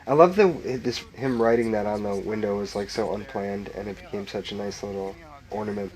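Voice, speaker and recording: A man's voice: fairly low pitch, a little fast, darkish tone, rough recording. A man, a filmmaker, chatting enthusiastically through a commentary track and filling his talk with "like" and laughs. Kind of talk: director's commentary chat.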